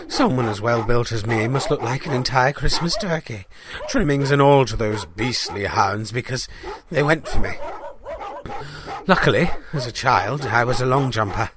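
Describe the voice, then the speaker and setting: A man playing a pompous, bumbling small-town newspaper man who rambles in a deadpan way through a comedic audio diary. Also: mid-range voice, unhurried, background noise, lightly acted. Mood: flustered, indignant. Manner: rambling, self-important, deadpan. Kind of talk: comedic audio diary monologue in 1970s setting.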